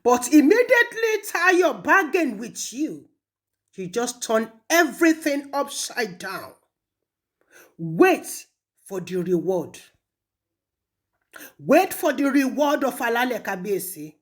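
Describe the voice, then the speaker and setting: A woman with a Nigerian Yoruba accent, speaking accusingly and emphatically in an impassioned public rebuke, with pointed pauses. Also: on the high side, big pitch swings. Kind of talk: impassioned public rebuke, switching between languages.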